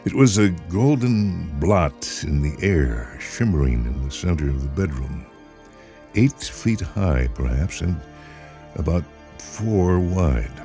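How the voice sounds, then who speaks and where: A man's voice: low voice, sing-song, takes it slowly, warm tone. A man reading a speculative short story as a dramatic, scripted narration. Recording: music behind the voice.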